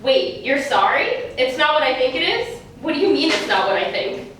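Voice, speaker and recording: A woman's voice: high voice, very animated, normal speed, fairly bright tone. A woman playing an exasperated, sarcastic scorned wife and mother as an indignant talk-show guest in a theatrical monologue. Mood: shocked, hurt. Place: stage, live performance. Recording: very echoey stage, distant mic, faint noise.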